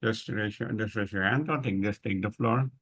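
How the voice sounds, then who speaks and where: Low-voiced man moderating, halting delivery. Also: fairly bright tone, slightly rough. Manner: halting, searching for words. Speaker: moderator of an online discussion. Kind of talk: informal spoken moderation. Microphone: online video call.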